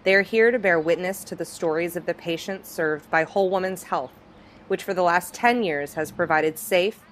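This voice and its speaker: Low-voiced woman narrating a news report. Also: normal speed, fairly bright tone, a little hiss or hum. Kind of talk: scripted documentary narration.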